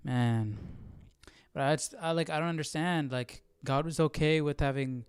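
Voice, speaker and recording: A man hosting a livestream with casual reaction commentary, haltingly thinking aloud. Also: a little high, smooth, no room sound, studio quality. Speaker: livestream host.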